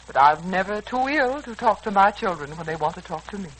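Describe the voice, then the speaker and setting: A woman's voice: medium pitch, animated, brisk, natural voice, poor audio. An actress playing a self-pitying, controlling middle-aged mother in a 1940s radio serial, speaking in a wounded, pointed way. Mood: cold, offended. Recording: old radio recording, faint noise.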